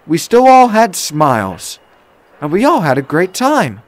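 A man voicing a kind young woman in a children's story, speaking softly with gentle, consoling earnestness. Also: high-pitched, wildly swooping pitch, measured pace, voice pushed up, heavily acted. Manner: soft, kindly, earnest.

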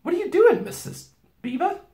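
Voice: extremely high pitch, big pitch swings, moderate pace, warm tone, pitched above the performer's own voice. A performer voicing an anxious, sensible young girl from a storybook, speaking urgently in a roomy space. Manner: urgent, fretful.

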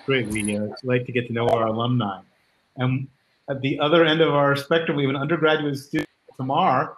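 A man's voice: mid-range voice, steady tone, fairly quick, low-quality recording. A middle-aged man, a department chair, casually welcoming new students on a video call. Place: home room on a video call.

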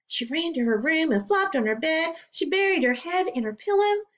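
A middle-aged woman teacher reading a children's picture book aloud at home, slow and expressive, pausing to ask her listeners questions. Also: very high-pitched. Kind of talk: children's storybook read-aloud. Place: home living room. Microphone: webcam screen recording. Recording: dull lo-fi webcam audio.

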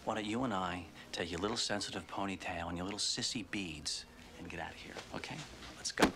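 A man's voice: low voice, fairly quick. An American actor in his thirties playing a hotheaded, sneering henchman in a film, blustering and threatening. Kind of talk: film acting.

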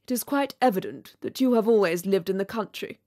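A woman's voice: mid-range voice, expressive, fairly quick, dark tone, close to an everyday voice. A woman voicing a vain, haughty male firework rocket in a storybook, with a pompous, condescending manner. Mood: smug, boastful. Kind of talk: storybook character reading.

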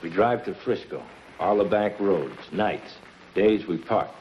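A man's voice: low-pitched, unhurried. An actor playing a tough, practical henchman with clipped, hard-boiled talk in a 1950s television crime drama. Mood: businesslike, clipped. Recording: muffled, hissy 1950s TV soundtrack.

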